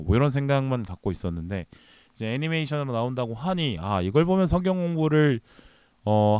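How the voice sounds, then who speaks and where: A man with a fairly low voice hosts his own movie podcast, talking in a loose, rambling way full of fillers and side remarks. The sound is dry and close, with no room around him. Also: dry recording. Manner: relaxed, rambling, many fillers and trailing remarks. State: recovering from a cold. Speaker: podcast host. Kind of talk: casual solo movie podcast talk.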